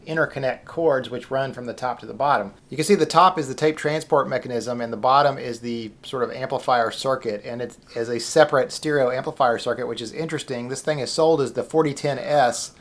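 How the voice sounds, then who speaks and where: A man's voice: on the high side, a bit bright. A man hosting a video, giving a casual, informal and somewhat hesitant unscripted demonstration as he talks. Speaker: video host.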